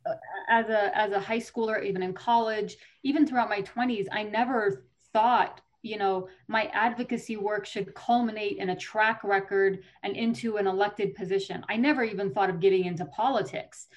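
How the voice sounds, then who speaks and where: An earnest woman in her thirties, speaking as a podcast guest from Colorado. Her pitch sits at a middle level. She answers at length, articulate but with small fillers and restarts. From Colorado, USA. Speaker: state legislator, guest.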